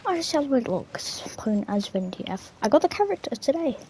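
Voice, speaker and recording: A woman's voice: sits high, big pitch swings, a little fast, darkish tone, slight background noise. A woman giving casual, rambling gameplay commentary in an offhand way. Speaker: gamer playing through a quest. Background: faint game music.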